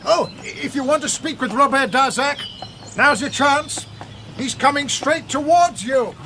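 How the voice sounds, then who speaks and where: An actor playing a smug, coolly courteous police inspector in a radio drama, with a sardonic manner. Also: male voice, very high-pitched, expressive, unhurried, sharp tone, slight background noise, full character voice. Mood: wry, knowing.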